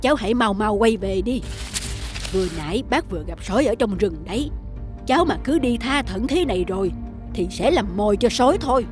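A woman's voice: on the high side, expressive, fairly quick, close to an everyday voice. A woman voicing a kindly older ape in a cartoon, protective and admonishing, with music behind. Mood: concerned warning. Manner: admonishing, concerned. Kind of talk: cartoon voice acting.

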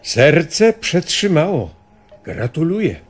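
A hospital doctor in a radio play. His mid-pitched voice rises and falls widely, at an unhurried pace. He comes across as professional and reassuring. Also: very animated, breathy edge, heavily acted. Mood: soothing, congratulatory. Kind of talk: radio drama acting.

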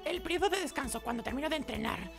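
A man voicing a stoic, muscular young woman martial artist from a video game, calm and grave. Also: very high-pitched, fast talker, gravelly, faint music behind, voice pushed up, heavily acted.

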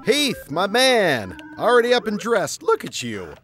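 An actor playing an easygoing suburban dad in a family audio drama. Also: male voice, high-pitched, wildly swooping pitch, unhurried, music in the background, full character voice. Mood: hurried, cheerful.

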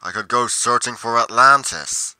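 A man playing a brooding, solitary monster investigator in a roleplay monologue, musing deliberately with pauses mid-sentence. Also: mid-range voice, bright, forceful tone, no room sound. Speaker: roleplay performer.

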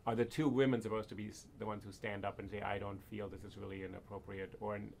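A man's voice: fairly low pitch, level tone, fast, gravelly, darkish tone. A man hosting a podcast interview, asking probing questions and thinking aloud. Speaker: podcast host. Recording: faint background noise.

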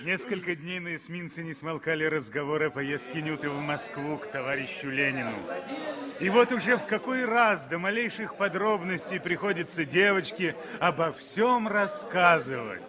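A man narrating a 1960s radio drama with dramatic, deliberately phrased storytelling. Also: fairly high pitch, crowd noise.

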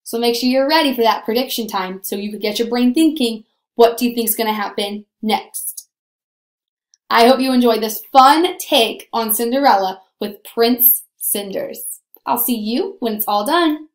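A woman in her twenties teaching young children in an upbeat, animated, encouraging way on a video lesson. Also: on the high side, moderate pace, reverberant. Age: 20s. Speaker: primary school teacher.

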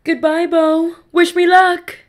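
A woman playing a devoted, excitable mother in an audio drama, expressive and motherly. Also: very high voice, slow. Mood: delighted. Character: devoted, excitable mother, an eccentric village baker. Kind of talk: amateur audio drama acting.

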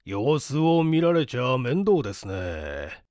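Man acting a hearty, commanding landowner, lively intonation. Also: medium pitch, slow pace, no room sound, studio quality, voice pushed down. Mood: wary, deliberate. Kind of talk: audiobook character acting.

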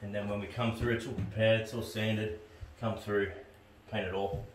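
A man's voice: on the low side, level tone, moderate pace, a little hiss or hum. A middle-aged man giving casual, practical how-to commentary in short plain phrases while he works, presenting as a tradesman. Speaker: tradesman presenting a renovation video. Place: small tiled bathroom under renovation, indoors. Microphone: camera a few steps away. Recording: roomy echo, distant camera mic, music.

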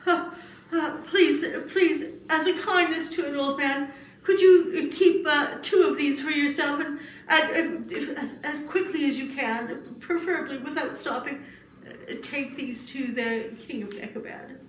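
A woman's voice: sits high, steady tone, measured pace. A woman voicing a flustered, humble old man who stammers, frets and pleads in a puppet show. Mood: dismayed, pleading. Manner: stammering, exclaiming, fretful. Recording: roomy, distant mic with background noise.

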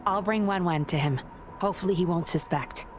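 A woman's voice: lowish voice, a little fast, soft background music, lightly acted. A woman playing a cool, self-assured undercover investigator in a game, composed, knowing and a little teasing. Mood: composed, sly.

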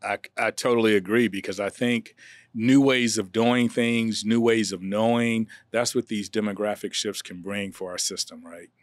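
A middle-aged man hosting a podcast interview, asking questions and murmuring agreement into a studio boom mic. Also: steady tone, moderate pace, dry recording. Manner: affirming, frequent murmured agreement. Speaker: podcast host, district official.

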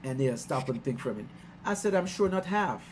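A middle-aged man from Guyana, a musician talking casually on a video call, warm and chatty, laughing often and getting excited as he tells stories. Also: mid-range voice, a little fast, slight background noise. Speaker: musician hosting his album release stream. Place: small room at home. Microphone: headset on a video call.

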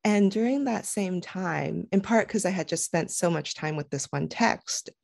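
Fields: woman, low creaky voice, dry sound. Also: moderate pace, a little mellow, no echo. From United States. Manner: thoughtful, many restarts and fillers. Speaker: guest. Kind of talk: casual conversational interview. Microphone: headset.

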